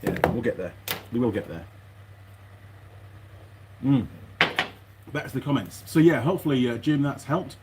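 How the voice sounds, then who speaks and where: A man's voice: mid-range voice, animated, normal speed, pauses often. A man chatting casually as a vlog host and mechanic, muttering and meandering as he goes. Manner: muttering to himself, hesitant, meandering. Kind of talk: casual rambling workshop vlog. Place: workshop. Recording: noisy, clicks and rustling of parts.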